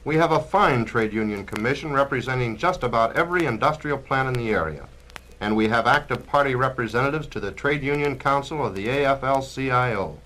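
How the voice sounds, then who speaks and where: A man plays a self-important communist trade unionist in a record drama. His voice is bright and forceful, at a middle pitch. He holds forth like a pompous orator, boastful about his work. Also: a little fast, slight background noise, close to an everyday voice, rough recording. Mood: proud, confident. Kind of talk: dramatized spoken-word record acting.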